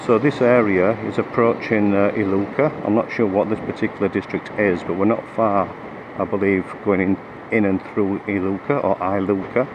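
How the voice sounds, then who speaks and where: A man riding a motorcycle with a cold-roughened, croaky voice, chatting through the route with lots of ums and restarts. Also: on the low side, fairly even intonation, normal speed, dull audio. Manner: hesitant, many fillers and restarts. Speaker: motorcycle vlogger. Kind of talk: rambling ride commentary. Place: on a motorcycle on the road. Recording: road and engine noise behind.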